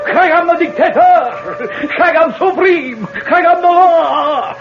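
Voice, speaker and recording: An actor playing a vain, desperate tyrant of a dictator in a 1970s radio drama, with a pompous, theatrical delivery. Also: male voice, very high-pitched, takes it slowly, soft background music, full character voice. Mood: manic, defiant. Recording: dull vintage radio recording.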